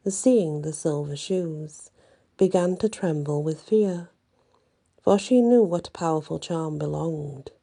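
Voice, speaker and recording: A woman telling a bedtime story in an ASMR style, with deliberate, dramatic phrasing. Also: fairly low pitch, creaky, mellow.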